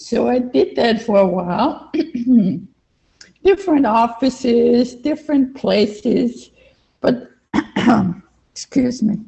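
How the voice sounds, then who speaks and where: A woman's voice: a little high, animated, drawn-out words, creaky, pauses often, dark tone. An older woman from Vienna with an Austrian accent, a native German speaker, telling her personal story as an interview guest, repeating phrases for emphasis. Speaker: interview guest, life coach. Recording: dull, lo-fi online call audio.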